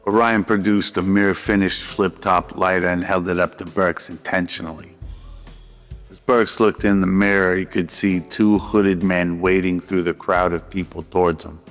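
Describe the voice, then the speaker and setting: A man's voice: deep voice, steady tone, moderate pace, fairly bright tone. A man reading scripted narration for a radio drama. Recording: long reverb over faint music.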